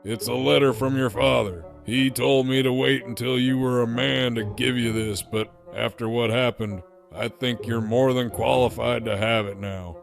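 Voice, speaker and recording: Gravelly, kindly male cartoon mentor, man acting. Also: medium pitch, fairly even intonation, moderate pace, faint music behind, lightly acted. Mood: solemn, caring. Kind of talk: cartoon comic dub.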